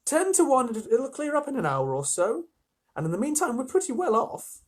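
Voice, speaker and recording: A man voicing a prim, sensible elder-sister schoolgirl with a gently scolding manner in a storybook reading. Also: very high-pitched, wildly swooping pitch, brisk, slightly rough, voice pushed up, heavily acted. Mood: reassuring.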